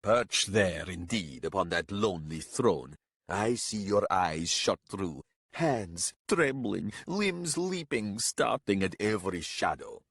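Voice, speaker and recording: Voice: deep voice, expressive, slow pace, a bit bright, husky, no echo, full character voice. A man voicing a sly, grumbling old warrior with theatrical, sneering archaic speech in a story.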